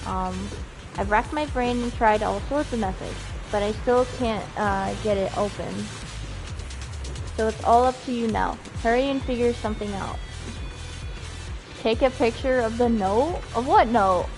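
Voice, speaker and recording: A woman livestreaming a video game, chatting and reading text aloud in a giggly, animated way. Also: on the high side, unhurried. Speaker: game streamer. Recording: game music behind the voice. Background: video game music.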